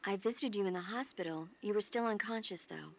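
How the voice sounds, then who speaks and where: An actress playing a caring female military medic in a video game, earnest, playful and gently scolding. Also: medium pitch, brisk, slight background noise, lightly acted. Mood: friendly teasing.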